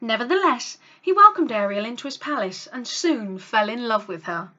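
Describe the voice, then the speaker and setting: A woman reading a children's story aloud as host, with a dramatic, expressive read-along delivery. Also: a little high, moderate pace, lo-fi sound. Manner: dramatic, animated delivery for young listeners.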